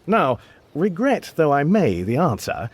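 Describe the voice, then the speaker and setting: A man's voice: a little high, big pitch swings, a little slow, breathy edge, exaggerated voice. An actor playing an ordinary, exasperated man with an English accent in a radio comedy, incredulous and querulous. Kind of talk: radio comedy drama acting.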